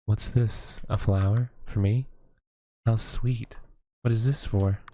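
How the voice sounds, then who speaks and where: Guy doing a lonely witch mom from the woods, slow and soft-spoken, warm low tone, kinda hesitant with sighs. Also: fairly even intonation. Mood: gentle. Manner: gentle, hesitant, sighing. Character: lonely motherly witch woman living alone in the woods. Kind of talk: audio roleplay acting.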